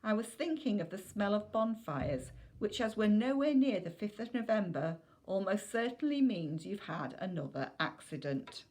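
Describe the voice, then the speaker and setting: A woman's voice: mid-range voice, animated, normal speed, hardly any pauses, a little mellow, lightly acted. A woman reading a storybook as a stern, sarcastic mother, scolding with pointed questions. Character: stern, sarcastic, exasperated mother of a mischievous boy.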